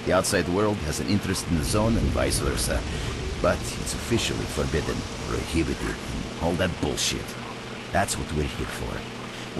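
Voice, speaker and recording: Shady game trader: extremely deep male voice, folksy, shrewd and world-weary, heavy noise behind. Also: full character voice. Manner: folksy, chatty, wry. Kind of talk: video game dialogue.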